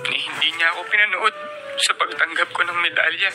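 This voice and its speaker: A man's voice: on the high side, a little fast, close to an everyday voice. A man playing a polite, hurt teenage son. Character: dutiful teenage son, a top student. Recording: noisy old AM radio broadcast.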